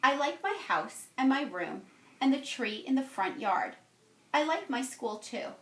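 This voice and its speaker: Woman voicing an earnest, plaintive schoolgirl, high and echoey. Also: animated, a little slow. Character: young schoolgirl, earnest, easily upset and indignant. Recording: roomy, echoey home-style recording.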